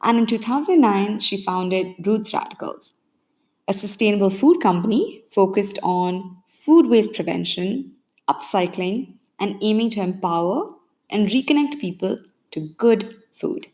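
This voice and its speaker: A woman's voice: medium pitch, lively intonation, a little slow, darkish tone. Upbeat woman podcast host. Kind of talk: read introduction and interview questions.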